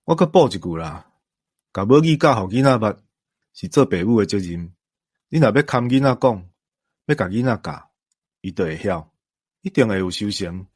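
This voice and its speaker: A man's voice: lowish voice, expressive, normal speed, long pauses, a little mellow, slightly rough, no room sound, studio quality. A man with a Taiwanese accent giving his views in a conversational discussion, earnest and emphatic. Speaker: commentator.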